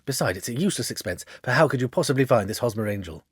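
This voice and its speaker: Male reader playing oily thirtyish storybook villain, polite, evasive, fussy, lively pitch. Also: racing, slightly rough, no room sound, clean studio sound. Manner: fussy, self-excusing, polite.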